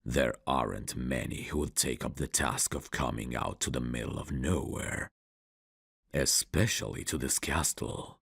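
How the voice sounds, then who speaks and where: Very deep, gravelly vampire count. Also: male voice, no room sound, studio quality, exaggerated voice. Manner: slow, courtly, old-fashioned, intimate phrasing. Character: suave male vampire count, courtly, seductive, quietly menacing. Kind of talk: ASMR roleplay acting. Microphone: close dry studio mic.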